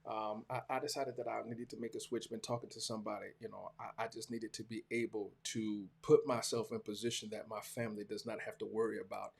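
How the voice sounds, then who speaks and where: Gravelly, husky Southern man in his forties. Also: lowish voice, little pitch movement, a little mellow. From small-town Georgia, US. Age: 40s. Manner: halting, repeats phrases for emphasis, earnest. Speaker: preacher hosting a talk show. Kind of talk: casual reflective monologue to viewers.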